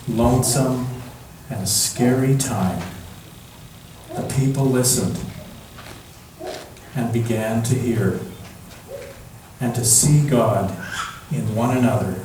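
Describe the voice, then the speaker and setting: Male storyteller, unhurried and gentle read-aloud with long pauses; very reverberant room, distant mic. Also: medium pitch. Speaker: storyteller reading at a church. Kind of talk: slow picture-book read-aloud. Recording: echoey room from far off, background noise.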